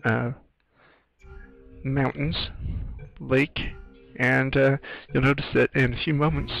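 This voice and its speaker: A man from Britain giving casual, musing screenwriter's commentary over a video game, hesitant and halting. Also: mid-range voice, level tone, vocal fry, fairly bright tone, low-quality recording. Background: game audio with faint music.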